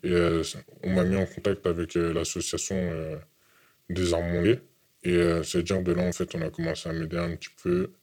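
Young man, very low pitch, halting interviewee who keeps repeating words. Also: a little mellow. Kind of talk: testimony interview. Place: hidden from camera.

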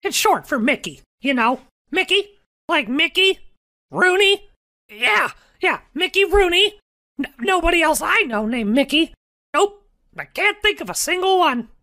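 A very high, piercing cartoon mouse villain, squeaky and giggly. He is a mean little male crime boss who mocks everyone in a bright, slow, swooping delivery. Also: big pitch swings, full character voice. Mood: sly, teasing. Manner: mocking, taunting. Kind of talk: parody radio drama acting.

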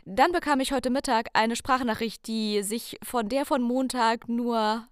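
A woman's voice: a little high, fairly even intonation, measured pace, smooth, no echo, studio quality. A woman co-hosting a casual podcast, talking playfully and theatrically and addressing listeners directly. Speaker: podcast co-host.

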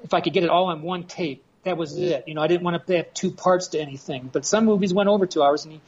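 Male podcast guest, fifties, American, lightish higher pitch, informal recollections, lo-fi online call sound. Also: fast, a little mellow, slight background noise. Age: 50s. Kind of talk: casual reminiscing chat. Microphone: internet voice call. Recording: dull lo-fi internet call.

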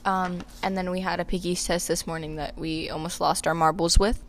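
A woman's voice: fairly low pitch, little pitch movement, a little fast, a little hiss or hum. A teenage girl co-hosting a casual podcast, chatting about her week in a playful, self-mocking way. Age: teens. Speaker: podcast co-host. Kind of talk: casual chat with a friend.

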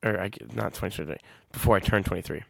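A man's voice: deep voice, rapid-fire, gravelly, dry recording, studio quality. A man in his twenties hosting a solo podcast, talking casually and reflectively, rambling and often correcting himself. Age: 20s. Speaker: podcast host.

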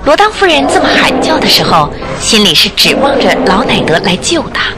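Expressive woman narrator, very animated pitch. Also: brisk. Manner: expressive storytelling. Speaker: radio drama narrator. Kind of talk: dramatic scripted narration of a detective story. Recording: music under the voice.

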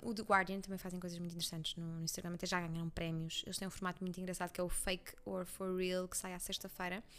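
A young woman journalist with a Leiria Portuguese accent talks quickly and eagerly in an interview. Her speech rushes forward, full of fillers and restarts as she works through her ideas. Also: fairly low pitch. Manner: fast, animated, many restarts and fillers. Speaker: journalist guest. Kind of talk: conversational interview.

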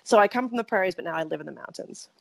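A woman's voice: medium pitch, expressive, racing, vocal fry, low-quality recording. A middle-aged woman from Saskatchewan, Canada, telling her story as a podcast guest in a chatty, rambling way that often corrects itself. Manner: chatty, rambling, frequent self-corrections and laughs. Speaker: interview guest. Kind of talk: conversational storytelling.

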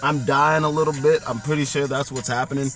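Hoarse male co-host, rambling and self-mocking, recorded in a car with faint music behind. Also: medium pitch, steady tone, a little fast, fairly bright tone. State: losing his voice. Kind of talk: casual podcast chat.

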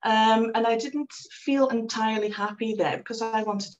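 A woman's voice: fairly high pitch, fairly even intonation, normal speed, dull audio. A Dutch woman in her forties, a guest in an interview, telling her story reflectively with many ums and restarts. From Netherlands. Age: 40s.

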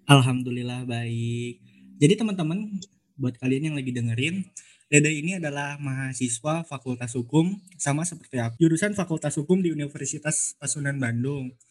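A man's voice: medium pitch, moderate pace. A man, hosting a casual podcast in a chatty, informal way that often repeats words. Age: 20s. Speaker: podcast host, law student.

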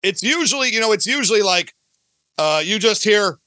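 A man's voice: sits high, expressive, normal speed, sharp tone, no echo. A talkative man speaking casually and emphatically as a podcast guest, often repeating phrases to drive a point home. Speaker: podcast guest, audio drama creator. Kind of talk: casual interview chat.

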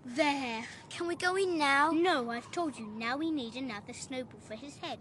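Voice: high-pitched, normal speed, very noisy, close to an everyday voice. A performer playing an eerie, insistent eleven-year-old with an English accent and a sing-song, ominous way of repeating things in a radio horror drama. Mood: taunting, pleading.

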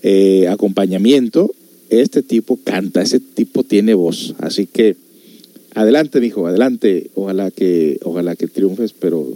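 A man's voice: deep voice, a little slow, dark tone, no room sound. A man hosting a show, talking casually to listeners in a musing, conversational way with rhetorical questions. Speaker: host of a music and reflection show.

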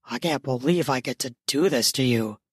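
A woman voicing a lustful, admiring male lover in an audiobook, with a gruff, cocky, muttering delivery. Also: steady tone, dry recording, clean studio sound, heavily acted. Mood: gruff, aroused. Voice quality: low husky murmur. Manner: slow, muttering through clenched teeth.

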